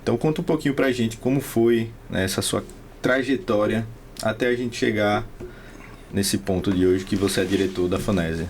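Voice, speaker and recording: A man's voice: on the low side, normal speed, slight background noise. Man hosting a casual podcast. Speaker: podcast host. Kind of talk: casual interview chat.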